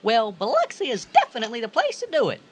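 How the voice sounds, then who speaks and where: A woman's voice: fairly high pitch, wildly swooping pitch, fast talker, breathy edge, exaggerated voice. A woman playing a sweet, chatty, hospitable old widow in a comic radio drama. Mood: sweet, curious. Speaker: radio actor.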